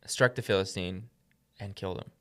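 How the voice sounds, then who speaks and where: Low-voiced man discussing the Bible. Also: normal speed. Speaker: podcast co-host.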